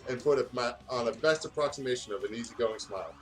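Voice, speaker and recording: A man's voice: mid-range voice, fast, faint music behind. A man reads fan fiction aloud in a casual way, stumbling over words and breaking off for joking, sweary banter. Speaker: guest reader on a gaming video.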